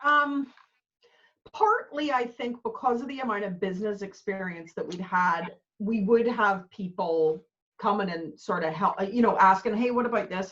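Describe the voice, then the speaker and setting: A woman's voice: medium pitch, a bit bright. Northern Irish woman with a muffled sound. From Northern Ireland. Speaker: business coach guest. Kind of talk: casual podcast chat. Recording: muffled lo-fi audio.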